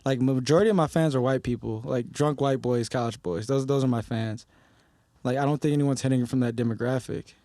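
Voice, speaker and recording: A man's voice: medium pitch, brisk, warm tone, dry recording, studio quality. A young man from Detroit, a rapper guest on a podcast, talking casually with heated, emphatic swearing.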